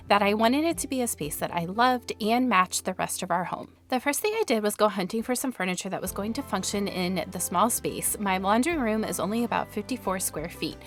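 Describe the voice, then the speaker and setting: Woman vlogger, slightly raspy from allergies, friendly upbeat voice-over, mid-range pitch, soft music underneath. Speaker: home decor vlogger. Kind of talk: scripted voice-over narration.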